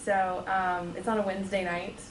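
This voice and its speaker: A hoarse woman who has a cold, speaking slowly and steadily in a group discussion. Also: medium pitch, flat delivery. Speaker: speaker at the meeting. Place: meeting room with a group. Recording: echoey room, distant, noisy.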